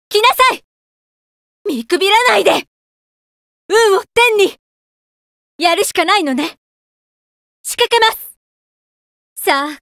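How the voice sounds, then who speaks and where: A woman voicing a devout young female warrior in a fighting game, polite and earnest, with sharp battle cries. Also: very high-pitched, dry recording, studio quality, full character voice. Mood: determined. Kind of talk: game voice lines, battle shouts.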